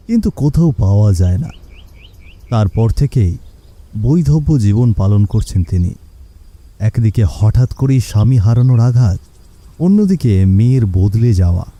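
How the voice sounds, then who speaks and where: A man narrating a cartoon story in a dramatic, measured scripted way. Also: medium pitch, dark tone, dry recording.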